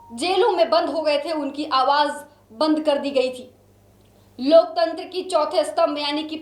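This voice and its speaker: High voiced lady news anchor, dramatic scripted read, really emphatic n solemn, echoey recording. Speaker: news presenter.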